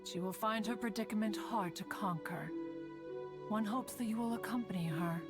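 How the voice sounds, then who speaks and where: A woman voicing an ancient, dignified female crane spirit in a game, speaking in a formal, archaic, measured way. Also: medium pitch, close to an everyday voice. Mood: solemn. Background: game music.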